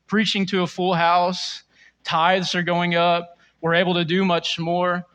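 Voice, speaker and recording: Bright-voiced male pastor exhorting a congregation. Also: sits high, monotone, unhurried. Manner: earnest, exhorting with repeated rhetorical questions. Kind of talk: sermon addressing a congregation.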